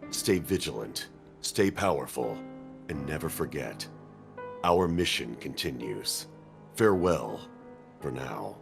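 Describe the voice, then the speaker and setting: A man voicing a stern, imposing male military commander, speaking in a slow, solemn proclamation. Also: extremely low pitch, lively intonation, creaky, over music, pitched below the performer's own voice, exaggerated voice.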